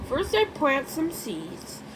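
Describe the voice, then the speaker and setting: Woman as slow, kindly old grandpa pig. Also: high voice, wildly swooping pitch, heavily acted. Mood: patient. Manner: patiently explaining. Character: kindly elderly male pig, patient gardener grandfather. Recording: clear background noise.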